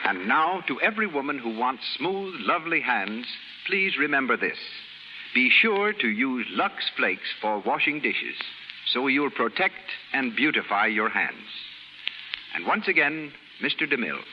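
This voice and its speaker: A man works as a polished radio announcer. His medium-pitched voice moves in animated, scripted phrases, on a dull 1930s recording. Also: unhurried. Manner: formal, polished salesmanship. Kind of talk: scripted 1930s advertising interview. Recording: muffled 1930s radio broadcast, faint hiss.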